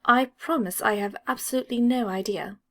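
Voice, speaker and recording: A woman's voice: a little high, a little fast, fairly bright tone. Woman voicing a cool, dry-witted schoolboy. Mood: dry bafflement. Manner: cool, dry, matter-of-fact. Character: aloof, clever, unflappable schoolboy with dry wit.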